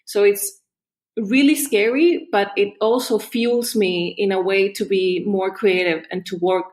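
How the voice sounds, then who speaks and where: A woman from Chile, a guest on a podcast, talking in an animated, casual way with restarts and frequent laughs. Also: medium pitch, measured pace. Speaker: guest artist. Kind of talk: casual interview chat.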